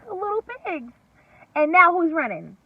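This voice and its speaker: High, animated woman reading to kids. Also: no room sound. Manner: expressive, deliberate, pauses to explain and question. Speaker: teacher reading a story aloud to young children. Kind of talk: animated read-aloud with questions to young children. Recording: distant, dull lo-fi recording with faint noise.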